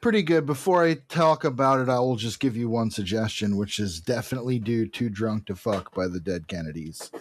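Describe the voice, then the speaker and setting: A man's voice: fairly low pitch, brisk, hardly any pauses. A man co-hosting a podcast in casual chat, telling things in a rambling, digressive way. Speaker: podcast co-host.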